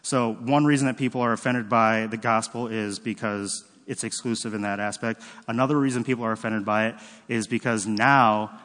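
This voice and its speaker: A man preaching a sermon in a relaxed, conversational way, often stopping to correct himself and using fillers. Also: lowish voice, long reverb tail. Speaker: pastor. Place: church sanctuary.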